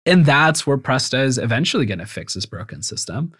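A young man from Venezuela speaks as a founder on a podcast, reflective and easygoing with a light touch of humor. His voice sits at a middling pitch. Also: big pitch swings, a little fast, a bit bright, husky. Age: young adult. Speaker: startup founder and guest. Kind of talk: casual interview.

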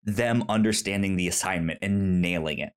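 A podcast host chatting casually about films in a halting, stammering way, often repeating words. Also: low voice, clean tone. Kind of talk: casual film review chat.

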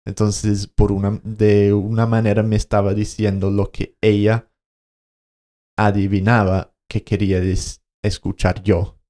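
Low mellow-voiced man telling a story. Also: level tone, a little slow. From Colombia. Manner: hesitant, searches for words, restarts. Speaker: podcast co-host. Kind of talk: casual conversational storytelling.

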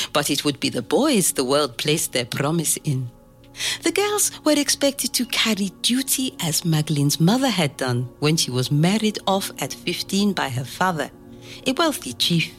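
A grandmother in an audio drama, fond and proud as she tells her story. Her delivery is unhurried and measured, with lively, swooping intonation that draws a young listener in. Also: deep voice, slightly rough, faint music behind, exaggerated voice. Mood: measured storytelling.

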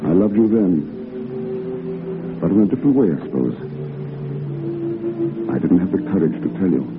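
A deep male voice as a gentle, wounded war veteran, guarded and politely evasive. His pitch moves widely within halting, restrained 1940s radio acting. It comes through a thin, narrow old recording with music behind it. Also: expressive. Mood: restrained sorrow. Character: gentle, withdrawn war veteran hiding his blindness from his love.

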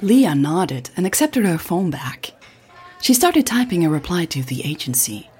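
A woman narrator reads scripted fiction for a podcast. Her voice sits fairly low but moves with lively inflection, and soft music plays behind her. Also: a little slow.

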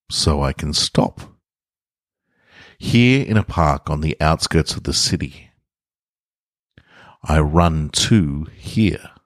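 A man's voice: a bass voice, dry recording, clean studio sound. A man, hosting a podcast and reading short fiction aloud. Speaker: podcast host reading own short stories. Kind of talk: podcast narration, casual intro and story reading. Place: hotel room with portable studio equipment.

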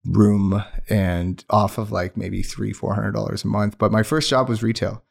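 A man's voice: lowish voice, level tone, normal speed, creaky. A man in his thirties, a native Urdu speaker from Pakistan and Oman, telling his story as an entrepreneur guest in an earnest, rambling way. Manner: earnest, rambling with restarts and fillers. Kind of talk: casual interview chat.

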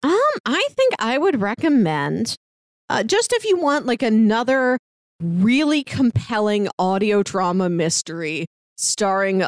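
A woman podcast co-host with a mid-range voice, recorded close and dry. She talks casually and retells stories in an animated way, slipping into little imagined quotes as she goes. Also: mid-range voice, no echo. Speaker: podcast co-host giving recommendations. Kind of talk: casual chat about films, books and audio dramas.

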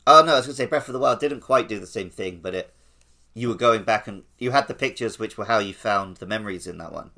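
A man's voice: fairly low pitch, quick pace, pushed and bright. A man co-hosting a gaming podcast, chatting casually about the game and the show. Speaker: podcast co-host.

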